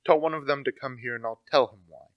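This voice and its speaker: A man's voice: medium pitch, quick pace, no room sound, highs cut off, natural voice. Young man playing emphatic, cocksure amateur sleuth. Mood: urgent. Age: teenager to young adult. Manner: emphatic, self-assured. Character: insistent, cocksure amateur detective gentleman. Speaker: actor. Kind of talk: amateur radio play acting.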